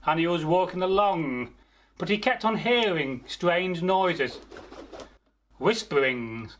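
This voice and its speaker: Slow, higher-pitched man telling a folktale. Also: fairly bright tone. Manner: theatrical, unhurried, pauses mid-phrase, addresses listeners. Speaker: storyteller, channel host. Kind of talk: oral folktale storytelling, improvised.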